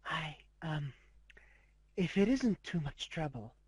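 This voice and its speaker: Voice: mid-range voice, big pitch swings, slow pace, darkish tone, slightly rough, no echo, exaggerated voice. A performer voicing a shy, timid young female pegasus pony, polite and hesitant, in a fan fiction reading. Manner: hesitant, polite, stammering with ums.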